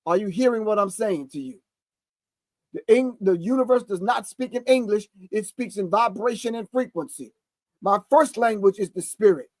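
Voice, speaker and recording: A man in his sixties hosts the show, pausing a video to comment. His voice sits high for a man, and he preaches with emphasis, repeating phrases for effect. The sound is close and dry, with no room around it. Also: dry recording. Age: 60s. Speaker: host. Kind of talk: live commentary reacting to a video.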